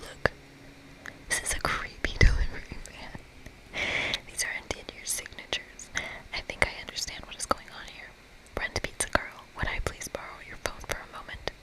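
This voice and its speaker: Soft breathy whisper all the way thru, asmr person reading out game dialogue. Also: normal speed, poor audio.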